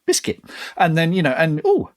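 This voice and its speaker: A middle-aged British man chatting casually as a podcast co-host, with a self-deprecating, jokey, animated storytelling manner. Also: fairly high pitch, normal speed. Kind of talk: casual chat.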